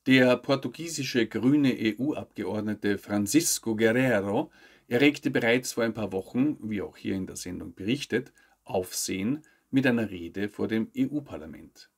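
A man, reading scripted news commentary to camera in a measured, formal way. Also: lowish voice. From Austria. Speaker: news show host. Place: indoor studio with plain backdrop.

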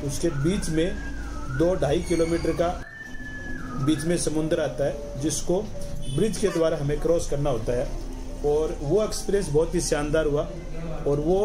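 Enthusiastic travel narrator from Rajasthan. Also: male voice, a little high, noisy background. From Rajasthan, India. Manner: enthusiastic, repetitive, explanatory. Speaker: travel vlogger.

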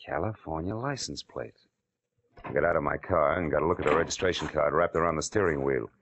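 An actor playing a hard-boiled, wry private detective, clipped and dry, in 1940s radio crime style. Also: male voice, extremely low pitch, a little fast, a bit bright, breathy edge, full character voice. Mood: dry, tense narration. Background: dramatic music.

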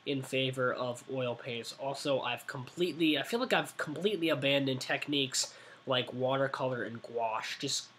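A man's voice: mid-range voice, normal speed, a bit bright, a little hiss or hum. A nineteen-year-old man chats loosely into a desk webcam in a small indoor room. He rambles, restarts his sentences and leans on fillers as he talks. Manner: rambling, self-correcting, many fillers. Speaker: art vlogger. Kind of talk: casual talk to webcam.

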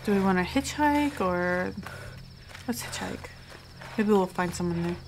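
A woman's voice: mid-range voice, lively intonation, a little slow, noisy background. Chatty woman narrating her gameplay. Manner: chatty, muttering to herself. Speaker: gaming streamer. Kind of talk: casual let's-play commentary.

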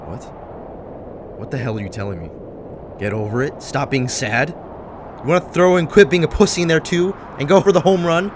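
A man's voice: a little high, moderate pace, long pauses, slight background noise, lightly acted. A man playing a bitter, grieving widowed survivor in a comic dub drama, with an emotional delivery. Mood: enraged. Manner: embittered, emotional. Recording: loud outdoor ambience behind voice.

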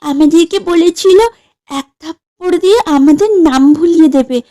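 A woman's voice: very high-pitched, normal speed, warm tone. A woman voicing a cheeky, mischievous child in a comedy cartoon, with a conspiratorial manner. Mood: scheming. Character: cheeky, mischievous neighborhood child playing ball. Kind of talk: exaggerated comedy cartoon acting.

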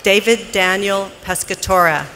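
An announcer reading formally at a graduation ceremony in a large arena, with measured, deliberate enunciation. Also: medium pitch, fairly even intonation. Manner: measured, deliberate enunciation with pauses between names. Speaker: commencement name announcer. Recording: noisy arena PA with crowd behind. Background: crowd noise.

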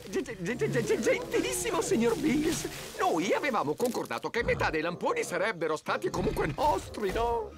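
A man voicing a scheming, bossy marten gang leader in an animated cartoon, with a theatrical, commanding manner. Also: very high-pitched, moderate pace, hardly any pauses, exaggerated voice. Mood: nervous. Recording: loud music behind. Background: loud music.

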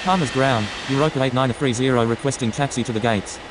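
A man playing a brisk airport radio operator in a simulation game, with clipped, formulaic aviation calls. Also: medium pitch, close to an everyday voice. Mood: businesslike. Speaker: air traffic control and pilot radio voice. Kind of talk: scripted aviation radio phraseology. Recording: hissy, clearly noisy radio-style audio.

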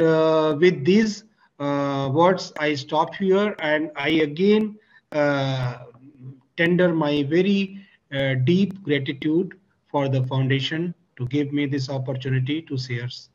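Older Indian man, formal keynote speaker, hesitant delivery, lo-fi call audio. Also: on the high side. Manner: halting, many fillers. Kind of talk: formal conference address. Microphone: video call. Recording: dull video call audio.

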